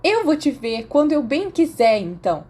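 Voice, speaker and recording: A woman's voice: sits high, very animated, moderate pace, dark tone, voice pushed up. A woman voicing a brash, fiery teenage schoolgirl in an audiobook, with a cheeky, emphatic and dramatic way of talking. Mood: indignant. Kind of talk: audiobook character acting.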